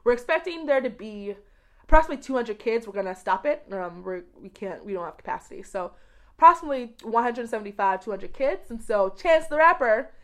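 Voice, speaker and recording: A woman from the United States giving conversational interview answers as a guest, expressive and repeating words for emphasis. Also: fairly high pitch, fairly quick. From grew up in the United States. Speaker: podcast guest, education researcher.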